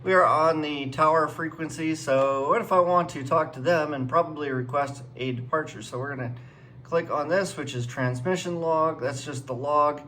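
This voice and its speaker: Higher-voiced man, rambling over clear noise. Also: normal speed, pushed and bright. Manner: rambling, thinking aloud. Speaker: flight simulator guide host. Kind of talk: casual hands-on commentary. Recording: clearly noisy room background.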